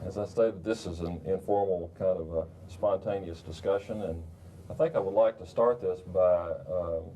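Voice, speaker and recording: An older man moderating a roundtable in a formal, measured, somewhat halting way. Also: dark tone, slight background noise. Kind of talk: formal, informally unscripted introduction. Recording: rumbly 1990s video recording.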